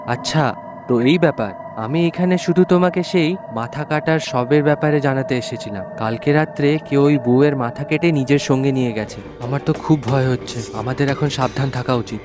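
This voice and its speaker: An actor voicing a trusting village husband in a ghost cartoon, with a concerned, questioning way of talking. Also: male voice, mid-range voice, a little fast, music in the background, lightly acted. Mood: worried.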